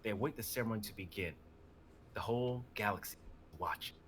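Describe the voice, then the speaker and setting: A man's voice: lowish voice, fast, fairly bright tone, husky. A man narrating stage directions in a live dramatic reading of a script.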